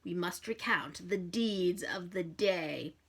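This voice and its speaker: Lady doing a nasty formal demon dude, grim n menacing, drags words out slow. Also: lowish voice, a bit bright, slightly rough, heavily acted. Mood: solemn. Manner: formal, deliberate, meaningful pauses. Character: grim malicious old-fashioned male demon, menacing.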